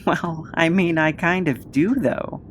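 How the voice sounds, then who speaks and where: Woman acting a low-voiced defiant hero. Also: slow, a little hiss or hum. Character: Napoleon, defiant cornered hero. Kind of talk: audio drama acting.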